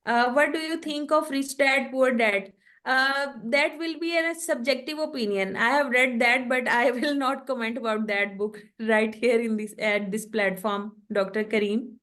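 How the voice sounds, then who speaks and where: A woman whose first language is Urdu answers questions after her online lecture, pausing often with fillers. Her voice sits a little high. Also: a bit bright. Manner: hesitant, many fillers. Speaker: guest lecturer. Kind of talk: online webinar Q&A.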